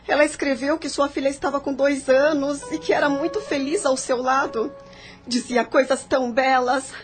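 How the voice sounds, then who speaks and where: Actress as melancholy married mother, courteous audio-drama delivery, high-pitched, music underneath. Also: steady tone, moderate pace, close to an everyday voice. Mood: wistful, bitter. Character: polite, embittered married mother with deep sadness. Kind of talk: audio novel dramatic acting.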